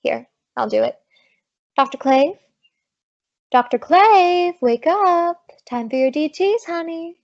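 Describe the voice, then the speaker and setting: A brash young heroine acted by a woman with a high voice. She is wry, bold and wisecracking, in the manner of old-time radio comedy. The audio is slightly dull and lo-fi. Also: animated, heavily acted. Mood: take-charge. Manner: wry, brisk, take-charge.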